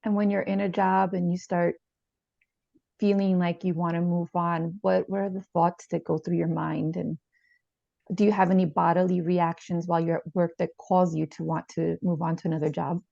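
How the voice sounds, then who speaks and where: A woman playing a calm, probing therapist in a dramatized session, asking measured, tentative questions. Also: lowish voice, vocal fry, no echo. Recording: dull lo-fi sound.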